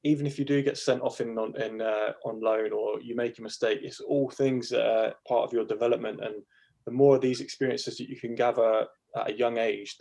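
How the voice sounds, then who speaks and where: A man's voice: mid-range voice, vocal fry, dark tone. A man co-hosting a podcast, talking in an encouraging, earnest way on a video call. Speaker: podcast co-host. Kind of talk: podcast interview chat.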